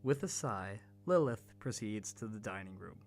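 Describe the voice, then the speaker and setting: A man's voice: lowish voice, expressive, normal speed, darkish tone, faint music behind. A man narrating a scripted story as the narrator of an audio drama.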